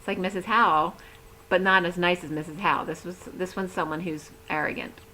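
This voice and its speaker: A woman giving a rambling, chatty tarot reading, talking with a voice that is going hoarse. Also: fairly low pitch, fairly even intonation, brisk, sharp tone, slight background noise, low-quality recording. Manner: chatty, rambling, laughs easily. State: losing her voice. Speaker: tarot reader. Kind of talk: casual tarot reading to camera.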